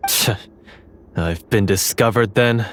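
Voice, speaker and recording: Sinister male guard, scornful, extremely slow, anime game villain, faint noise behind. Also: fairly low pitch, fairly bright tone. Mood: scornful menace. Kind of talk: anime video game voice acting.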